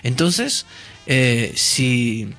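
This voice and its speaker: A man's voice: medium pitch, expressive, drawn-out words, fairly bright tone, soft background music. Hesitant man explaining. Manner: hesitant, many fillers and restarts, explanatory. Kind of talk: casual conversational explanation.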